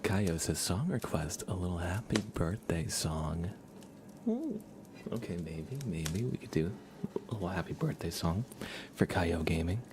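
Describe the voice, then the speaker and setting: A man chatting casually and softly on an ASMR livestream, sometimes dropping toward a whisper, in an unhurried, musing way. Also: deep voice, very animated, background noise. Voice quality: soft, partly whispered. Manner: unhurried, musing aloud, thanking viewers. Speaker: livestream host, musician. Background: acoustic or plucked guitar playing.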